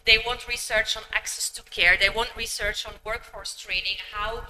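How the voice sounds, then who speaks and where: A woman giving an academic conference lecture, measured and peppered with ums and uhs. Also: on the high side, steady tone. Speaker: conference speaker. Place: large conference hall. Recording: echoey hall with hum.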